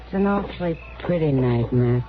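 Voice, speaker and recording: A woman's voice: low-pitched, expressive, moderate pace, background noise. An actress playing an easygoing, friendly, teasing woman of the Old West in a radio drama. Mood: playful. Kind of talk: 1950s Western radio drama acting. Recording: muffled vintage radio recording with music.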